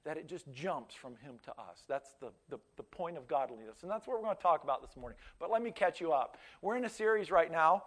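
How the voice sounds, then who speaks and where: High-voiced pastor in his fifties. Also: sing-song, fairly quick, hardly any pauses. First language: Pennsylvania Dutch. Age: 50s. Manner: conversational storytelling with frequent restarts and stammered repeats. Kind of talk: sermon.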